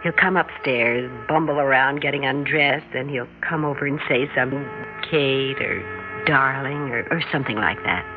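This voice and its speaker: An actress playing a middle-aged American wife and mother in an old radio drama, apologetic but quietly sure of herself, hesitant and earnest. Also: rumbling low, normal speed. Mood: reflective. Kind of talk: old-time radio drama acting, first-person narration. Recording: thin phone-like vintage radio sound, music behind.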